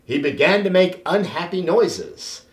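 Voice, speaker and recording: A middle-aged man reads aloud to children in an expressive, theatrical way with deliberate phrasing. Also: high voice. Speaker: children's storybook reader. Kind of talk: animated read-aloud for children. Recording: roomy, echoing sound.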